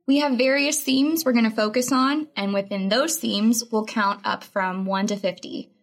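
A woman's voice: fairly high pitch, steady tone. A woman co-hosting a casual podcast, talking in a playful, teasing way. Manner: playful teasing, quick laughs. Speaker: podcast co-host.

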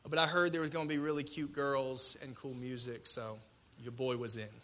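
A man in his thirties preaching a personal testimony in a colloquial, self-deprecating way, with dramatic pauses and a slight stutter. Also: medium pitch, little pitch movement, bright, forceful tone. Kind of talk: personal testimony sermon to an audience.

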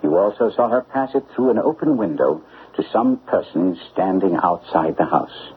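Shrewd, exacting radio detective. Low, animated, formal delivery on a narrow, phone-like old recording. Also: male voice, moderate pace, slight background noise. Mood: stern, explaining. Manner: precise, formal, incisive delivery. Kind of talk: classic radio drama acting.

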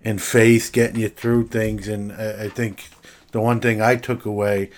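Low-voiced man in reflective casual talk. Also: level tone, unhurried. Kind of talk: casual sports talk conversation.

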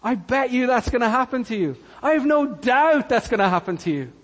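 A man's voice: extremely high pitch, lively intonation, a little fast, long reverb tail. A man from Cork with a Cork Irish accent, preaching a sermon earnestly and repeating key lines for emphasis. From Ireland, Cork. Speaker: pastor.

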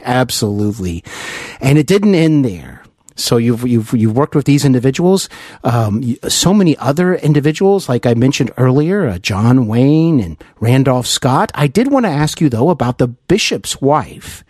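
A man with a mid-pitched voice hosts a celebrity interview. He speaks reverently and effusively in halting phrases, on a very dry recording. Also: a little mellow, no room sound. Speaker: interview show host.

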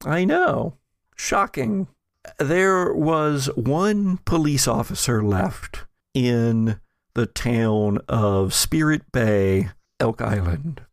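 A man acts as game master, setting scenes in a casual, halting way. His voice is mid-pitched and gravelly, and he takes his time in a dry, close recording. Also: animated, dry recording. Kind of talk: casual improvised tabletop game narration.

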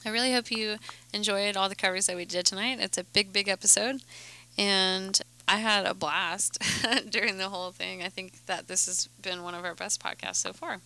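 A young woman hosting a podcast, chatting in a quick, giggly, rambling way. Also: medium pitch, steady tone, bright, forceful tone, clean tone. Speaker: podcast host. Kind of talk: casual podcast chat.

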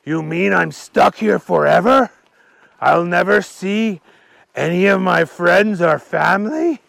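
A man voicing a big, gentle, forgetful dragon in a children's story, slow and drawn-out with booming exclamations. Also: expressive, vocal fry, no room sound, heavily acted. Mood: distraught.

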